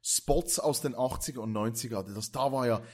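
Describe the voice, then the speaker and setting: Agreeable man with a medium-pitched voice. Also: moderate pace, a little mellow. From Switzerland. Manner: agreeable, interjecting confirmations. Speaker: podcast co-host. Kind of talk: casual chat.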